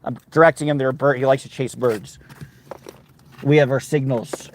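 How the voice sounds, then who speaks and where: A man's voice: medium pitch, steady tone, moderate pace, a little hiss or hum, poor audio. A man rambling casually on a livestream from a car, talking to his dog and his viewers. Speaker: livestreamer on a road trip.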